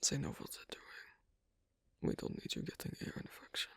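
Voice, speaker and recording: A performer voicing a guarded, shyly affectionate werewolf on a date in an ASMR roleplay, speaking in a husky, breathy whisper with a halting, hesitant delivery. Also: no echo, natural voice. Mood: reserved, gently advising. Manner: halting, soft-spoken, hesitant. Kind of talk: ASMR roleplay, one-sided intimate conversation.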